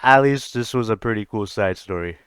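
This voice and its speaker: A man's voice: lowish voice, fairly quick, a bit bright, clean tone, dry recording, clean studio sound. A man giving casual, rambling reaction commentary as a game streamer, thinking aloud.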